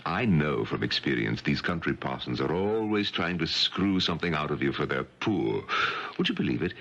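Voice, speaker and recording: An actor playing a wealthy, shrewd titled gentleman in a radio drama, smooth and persuasive with a pompous air. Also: a bass voice, expressive, normal speed, heavily acted. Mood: scornful. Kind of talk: 1970s radio drama acting. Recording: dull, noisy 1970s radio recording.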